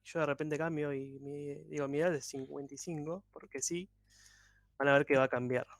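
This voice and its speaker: A man's voice: on the high side, steady tone, moderate pace, dry recording. A man, teaching code in an online workshop with patient, step-by-step explanations over a video call. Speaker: programming instructor.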